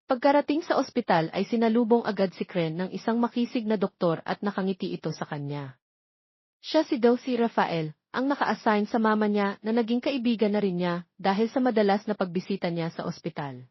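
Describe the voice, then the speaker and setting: Husky Filipino woman narrating, slightly muffled. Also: mid-range voice, no echo. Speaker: story narrator. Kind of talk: scripted narration of a romance story.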